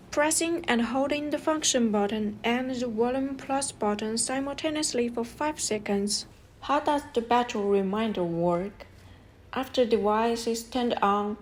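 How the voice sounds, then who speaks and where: Woman narrating a product demo, noisy background. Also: a little high. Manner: step-by-step instructional delivery. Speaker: product reviewer and presenter.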